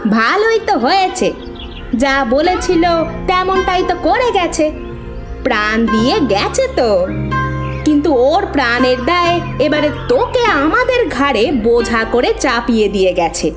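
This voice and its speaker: A scornful, heartless aunt from a cartoon melodrama, acted by a woman. Her high voice swings widely in pitch as she sneers and orders, with clear music underneath. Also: sing-song, a little slow. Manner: sneering, imperious. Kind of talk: melodramatic cartoon villain acting.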